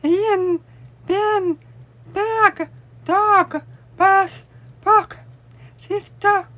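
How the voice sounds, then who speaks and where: A woman with a Russian accent, a native Russian speaker, explaining at home in a deliberate way while she signs along. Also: very high-pitched, fairly even intonation, a bit bright. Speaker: language learning vlogger. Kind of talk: explaining while showing books. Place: home room with bookcase, indoors.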